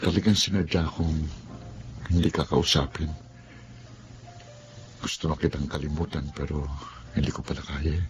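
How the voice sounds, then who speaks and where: A man playing Andrew, a devoted young boyfriend in a radio drama, who speaks softly and tenderly and sometimes teases. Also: extremely low pitch, level tone, normal speed, long pauses, soft background music. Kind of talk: Filipino radio drama acting.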